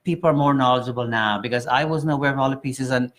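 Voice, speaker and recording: Male host from Guyana, mid-pitched, loose and rambling delivery on a live talk show. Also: quick pace, fairly bright tone. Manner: rambling, with many um fillers and restarts. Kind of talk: casual live chat show.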